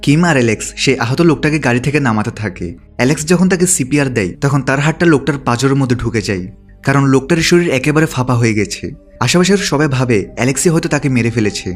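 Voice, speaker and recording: A man's voice: mid-range voice, expressive, fast talker, faint music behind. A man narrating a film's story from a script, as a recap narrator. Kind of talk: scripted narration of a film plot.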